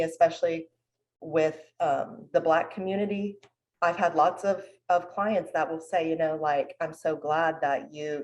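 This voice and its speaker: A woman's voice: low voice, poor audio. A woman therapist speaking humbly and thoughtfully about her clients on a panel. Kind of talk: panel discussion.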